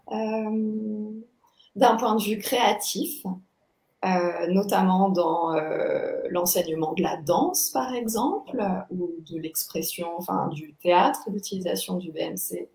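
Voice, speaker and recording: A young woman explaining her practice as a guest teacher, thoughtful and halting as she searches for words. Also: mid-range voice, vocal fry, darkish tone. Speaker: body-movement teacher, interview guest. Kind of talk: explanatory interview talk. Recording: echoey, roomy sound.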